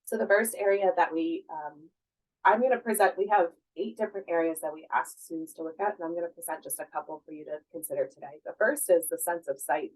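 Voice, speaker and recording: A woman giving an academic conference presentation, hoarse from a cold, talking in a measured way with frequent fillers and questions to the audience. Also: lowish voice, a little mellow, poor audio.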